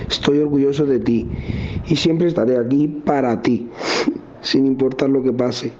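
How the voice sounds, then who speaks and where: A tender, supportive loved one acted by a man in an intimate voice message. The voice is mellow and mid-pitched, on a dull, noisy recording. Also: steady tone, a little slow, close to an everyday voice. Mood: tender, encouraging. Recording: dull recording with background noise.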